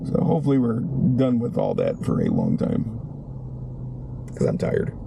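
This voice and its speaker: Male vlogger, raspy strained voice, rambling casual talk, noisy car recording. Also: medium pitch, wildly swooping pitch, normal speed. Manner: rambling, conversational, many restarts. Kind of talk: casual vlog chat.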